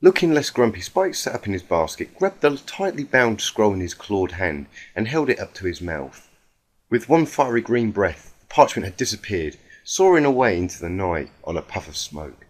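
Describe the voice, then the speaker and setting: A man with a husky voice reading a story aloud in a dramatic audiobook style. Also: on the low side, sing-song, moderate pace. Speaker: fan fiction reader.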